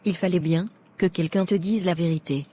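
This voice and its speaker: Woman acting a blunt, low-voiced judgmental churchwoman. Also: normal speed, mellow, slight background noise, natural voice. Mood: indignant scolding. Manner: outspoken, scolding.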